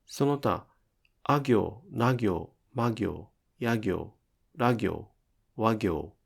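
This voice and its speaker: A man's voice: on the low side, extremely slow, creaky, husky. A man giving scripted instructional narration as a pronunciation teacher, enunciating carefully step by step.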